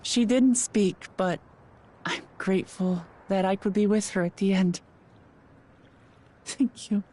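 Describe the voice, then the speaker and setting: A woman's voice: medium pitch, slow pace, mellow. An actress voicing an earnest, tender and polite young woman in a video game, speaking in a heartfelt, plain way. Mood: grieving, grateful. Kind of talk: scripted video game dialogue.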